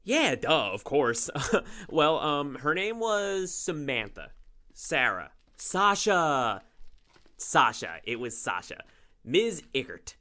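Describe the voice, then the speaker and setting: A man's voice: a little high, big pitch swings, slow, sharp tone, exaggerated voice. A man playing an awkward, eager, bumbling young lab assistant in an audio drama, stammering and correcting himself. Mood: flustered. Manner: flustered, stammering, self-correcting.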